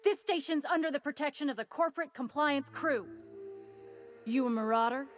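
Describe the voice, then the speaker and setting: An actress playing a wary but easygoing station guard who talks in a drawling, folksy way. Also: female voice, high voice, moderate pace, faint music behind, natural voice. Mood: wry.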